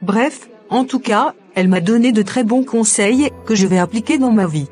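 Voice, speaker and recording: Earnest young woman in an animated drama, acted by a woman. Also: a little high, normal speed, soft background music, close to an everyday voice. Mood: earnest, insistent. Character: devout young single woman, earnest and trusting.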